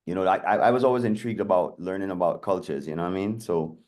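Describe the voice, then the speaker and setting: A man's voice: low-pitched, quick pace, a little mellow. A man from Atlanta, a DJ and producer, chatting in an interview and telling stories with plenty of "you know" fillers. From Atlanta, USA. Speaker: guest DJ and producer. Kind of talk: casual interview storytelling.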